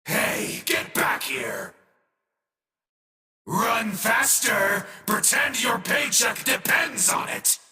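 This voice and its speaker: An actor playing a menacing, comically theatrical game villain who taunts his prey in a gravelly, exclamatory voice. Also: very high-pitched, huge pitch swings, extremely slow, bright, forceful tone, soft background music, heavily acted. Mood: menacing taunting. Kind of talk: exaggerated game villain voice lines. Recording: echoey hall reverb, distant from mic.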